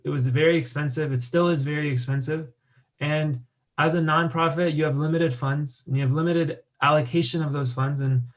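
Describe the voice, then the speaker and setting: A young American man giving a conference talk about his work, speaking loosely with many fillers and restarts. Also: on the high side. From United States. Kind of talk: conference presentation with slides.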